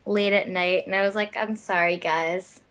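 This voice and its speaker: A voice actress gushing and giggling about characters during a fan-dub commentary. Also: female voice, fairly low pitch, fairly even intonation, normal speed, a bit bright, clean tone, muffled recording. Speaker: voice actress in the fandub. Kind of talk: casual group commentary.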